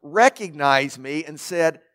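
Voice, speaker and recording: A pastor preaching a sermon, emphatic, with rhetorical questions and building lists, and a naturally loud voice. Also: male voice, fairly high pitch, animated, takes it slowly.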